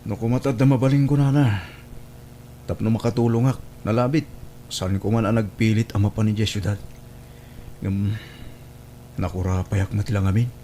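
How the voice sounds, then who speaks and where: A man's voice: on the low side, fairly even intonation, moderate pace, long pauses, mellow, slight background noise, natural voice. A man playing a devoted, respectful young provincial suitor in a family radio drama. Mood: downcast.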